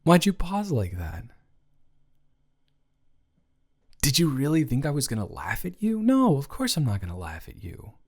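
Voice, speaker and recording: A man's voice: on the high side, wildly swooping pitch, fairly quick, slightly rough, exaggerated voice. A man playing a caring, awkward young roommate in an improvised intimate audio roleplay, speaking casually with rambling, stammering, reassuring hesitation. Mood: earnest, sympathetic.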